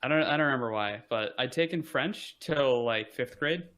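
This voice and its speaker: A man's voice: mid-range voice, moderate pace. A young man chatting casually as a podcast guest, rambling with lots of "like" and self-deprecating asides. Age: young adult. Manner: relaxed, rambling, frequent "like" fillers, self-deprecating. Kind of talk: casual conversation.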